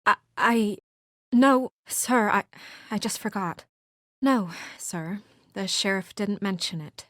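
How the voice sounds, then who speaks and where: An actress playing a brisk, exasperated, dutiful young professional woman in a video game, speaking in a crisp, earnest, businesslike way. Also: medium pitch, fairly bright tone, no room sound, clean studio sound. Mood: careful, deferential.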